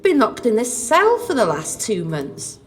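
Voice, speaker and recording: Woman playing a high-pitched indignant captive goose. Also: wildly swooping pitch, a little slow. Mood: matter-of-fact. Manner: theatrical, exclamatory. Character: captive talking goose, plaintive, indignant, female. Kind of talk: audio pantomime acting. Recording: long echoing dungeon reverb.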